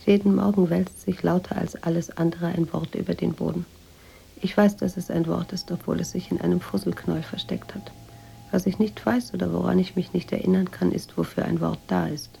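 Introspective woman writer, creaky dark voice, measured literary radio monologue, noisy recording with hum. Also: fairly low pitch, fairly even intonation. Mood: detached, dreamy. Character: troubled, introspective American woman writer in Tangier.

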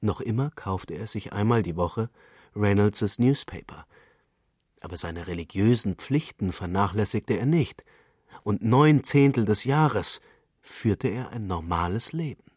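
A man's voice: on the low side, expressive, measured pace, darkish tone, breathy edge, dry recording, clean studio sound. A man reading literary prose as a scripted narrator.

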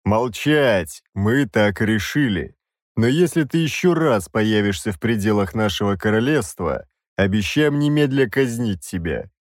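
A man's voice: fairly low pitch, lively intonation, unhurried, dry recording, clean studio sound. A man voicing an irritable, haughty king who talks in an imperious, grumbling way.

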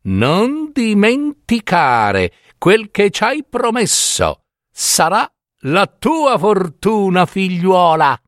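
A man's voice: sits high, sing-song, very slow, no echo, clean studio sound, pitched above the performer's own voice, exaggerated voice. A man voicing odd spinning women in a fairy tale, coaxing and insistent as they strike a bargain. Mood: solemn reminder. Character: three odd spinster women, bargaining helpers.

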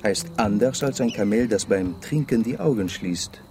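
A man's voice: on the low side, animated, normal speed, darkish tone, faint music behind, lightly acted. An actor playing a worldly, wry and melancholic man in a radio drama, musing and dropping in French words.

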